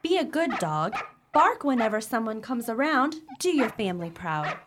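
A woman's voice: on the high side, big pitch swings, moderate pace, noisy background. A woman playing a stern, practical mother watchdog who admonishes her pup in a radio play. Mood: solemn advice.

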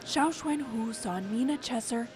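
Female radio reporter, scripted feature narration, measured and descriptive, slightly high voice. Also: lively intonation, a little mellow. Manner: measured, descriptive storytelling read.

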